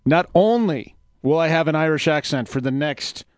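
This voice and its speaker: A man with a fairly high voice co-hosts a radio show. His banter is casual, playful and self-mocking, recorded in a dry studio. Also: lively intonation, moderate pace, no echo. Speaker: co-host of a poetry radio show.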